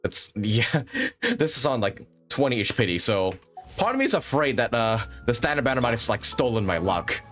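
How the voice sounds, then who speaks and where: A man's voice: mid-range voice, very animated, moderate pace, a bit bright, soft background music. A man hosting a livestream with casual, rambling gaming commentary and frequent chatty asides. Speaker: livestream host.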